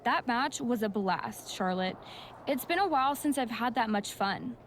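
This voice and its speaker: A woman's voice: a little high, expressive, moderate pace, slight background noise, lightly acted. A woman playing a young, admiring and independent-minded rookie wrestler in a video game cutscene, speaking earnestly. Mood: cheerful.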